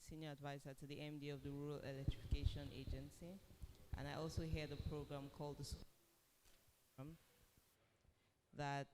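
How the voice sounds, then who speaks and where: Animated woman panelist, lower clear voice. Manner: animated, laughing at herself. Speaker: agency senior advisor, panelist. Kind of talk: conference panel introduction. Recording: long reverb of a conference hall.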